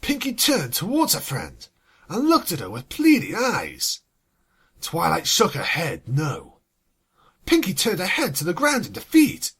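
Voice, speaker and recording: A story reader with a husky voice, sardonic and exasperated, swinging between narration and sweary asides. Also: medium pitch, huge pitch swings, a little slow, a bit bright. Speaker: fan fiction reader and commentator. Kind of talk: scripted story reading with sarcastic asides.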